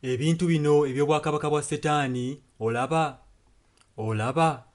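A man's voice: on the high side, brisk. Man interpreting a sermon, music behind. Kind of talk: spoken and sung translation of a sermon.

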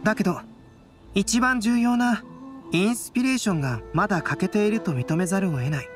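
An actor playing a calm, analytical young male artist and scholar in a Japanese anime game, measured and reflective. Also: sits high, music in the background, natural voice. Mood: calm, reassuring.

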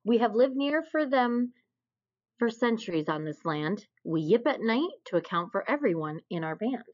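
A woman's voice: a little high, sing-song, moderate pace. A woman voicing a fierce, loyal coyote in a children's storybook. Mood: proud, loyal. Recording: dull, lo-fi recording.

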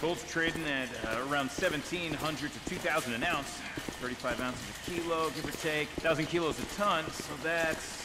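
An actor playing a polite, chatty middle-aged bank vault official with a courteous, matter-of-fact manner. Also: male voice, on the high side, normal speed, heavy background noise, natural voice. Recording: game audio with loud vehicle ambience.

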